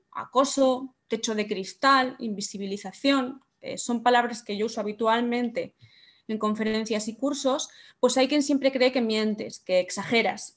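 A young woman with a fairly high voice lectures over a webcam from her room at home. She keeps it conversational and keeps throwing rhetorical questions at her listeners. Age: young adult. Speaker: speaker giving a talk. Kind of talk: online conference talk.

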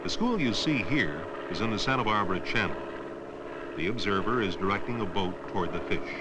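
A man reads scripted educational documentary narration in a measured, declarative way, in the style of around 1970. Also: on the low side, animated. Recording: noisy old educational film soundtrack.

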